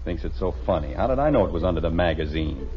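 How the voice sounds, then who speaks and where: A man's voice: low voice, animated, quick pace. A man playing a grumbling middle-aged American family father in a radio sitcom, dry, exasperated and wisecracking. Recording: dull, noisy vintage radio recording.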